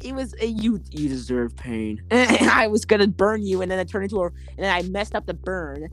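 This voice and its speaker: A woman's voice: mid-range voice, expressive, normal speed, fairly bright tone, soft background music. A woman co-hosting silly banter, giggly and teasing. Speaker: co-host.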